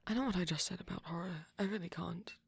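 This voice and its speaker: A man voicing a shy, gentle, nervous vampire boyfriend in an intimate ASMR roleplay, speaking softly and often whispering, hesitant and rambling, close to the microphone. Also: high-pitched, fast talker, no room sound, heavily acted. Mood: anxious, earnest. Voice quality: soft, breathy, often whispering.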